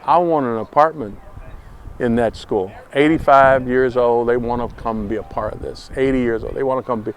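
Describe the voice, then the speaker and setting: An older man gives an emphatic, informal interview answer. His pitch is middling, and faint outdoor traffic noise sits behind him. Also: normal speed.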